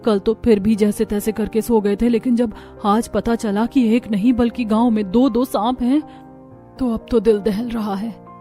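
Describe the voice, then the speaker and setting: A worried village housewife, played by a woman narrator in a cartoon tale. She talks earnestly and uneasily in a somewhat high voice. Light music plays underneath. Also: fairly even intonation, moderate pace, dark tone, natural voice. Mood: anxious. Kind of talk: animated Hindi cartoon story.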